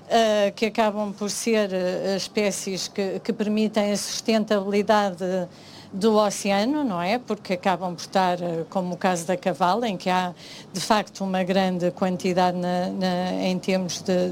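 A woman with a European Portuguese accent, speaking as a panelist on food science, halting often with restarts and "eh" fillers. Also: medium pitch, steady tone, darkish tone, a little hiss or hum. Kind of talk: panel discussion.

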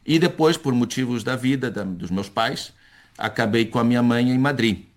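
A man's voice: mid-range voice, normal speed. A man, speaking as a professor in a conversational interview, thoughtful and hesitant, often restarting and repeating words. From born in Chile to Brazilian parents.

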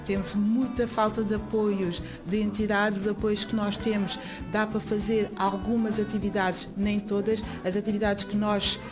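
Young woman, from Guinea-Bissau, volunteer explaining informally, medium pitch, repeated phrases, clear background music. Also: level tone, normal speed. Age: young adult. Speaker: association volunteer. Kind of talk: interview, informal guided explanation.

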